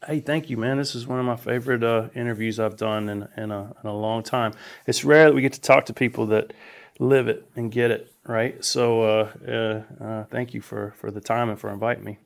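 A man chatting as a podcast guest in a relaxed, casual way with frequent fillers. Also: medium pitch, fairly quick, vocal fry. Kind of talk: casual interview chat.

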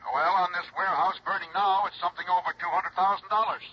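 An actor playing an earnest local insurance agent in an old radio drama, businesslike and urgent. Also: male voice, sits high, steady tone, quick pace, natural voice. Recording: narrow, faintly noisy old radio recording.

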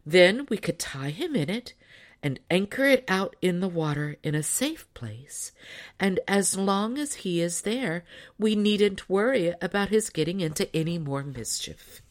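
Gently reasoning storybook mother, read by a woman. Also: on the low side, lively intonation, a little slow, pitched above the performer's own voice. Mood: patient reasoning. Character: practical, thoughtful mother of a giant baby.

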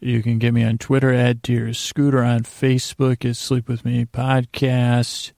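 A man hosting a sleep podcast in a rambling bedtime monologue, soothing and lulling, halting and repeating his words, with a gravelly voice. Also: mid-range voice, completely flat delivery, darkish tone, no room sound, studio quality. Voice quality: gravelly, creaky.

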